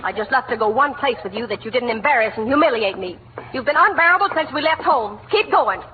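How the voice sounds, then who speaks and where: An actress playing a wide-awake, fussy, nagging wife who longs for romance in a 1940s radio comedy. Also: female voice, on the high side, expressive, quick pace, natural voice. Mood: scolding. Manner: scolding, fussy, aggrieved. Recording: tinny, noisy old 1940s radio recording.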